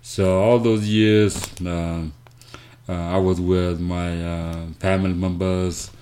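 A man from South Sudan telling his life story as an interview guest, speaking haltingly with many fillers and restarts. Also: sits low, level tone, dark tone. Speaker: guest, nonprofit founder.

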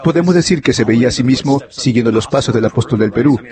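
Fast-talking male voice-over interpreter. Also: medium pitch, mellow.

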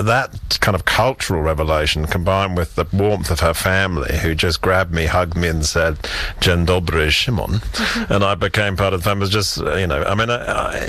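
A middle-aged Englishman speaks as an interview guest in a low-pitched voice. He is articulate and enthusiastic, telling anecdotes in a conversational way. The sound is dry, with very little room. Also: a bit bright, no room sound. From England. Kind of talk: conversational storytelling.

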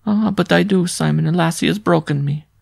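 Man voicing a high, plaintive, worn-out peasant woman. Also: a little fast, gravelly, darkish tone, no room sound. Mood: weary lament. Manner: plaintive, sobbing lament. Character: gaunt, worn-out peasant woman, pleading and bitter.

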